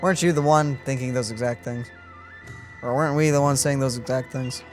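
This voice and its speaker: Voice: mid-range voice, fairly quick. A gaming streamer giving casual reaction commentary with a sarcastic, dry manner. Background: game music.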